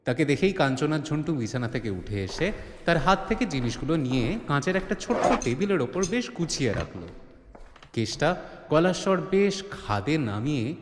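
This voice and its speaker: A man's voice: on the high side, big pitch swings, moderate pace, a little hiss or hum. A man delivering scripted, dramatic narration as the storyteller of an audio drama. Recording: echoey reverb on the voice.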